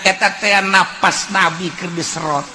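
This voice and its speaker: Male Islamic preacher, Sundanese accent, bright sharp voice, animated folksy delivery, slight background noise. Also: high-pitched, moderate pace. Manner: animated, shifting between chanting, shouting and folksy asides. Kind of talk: fiery religious sermon with storytelling.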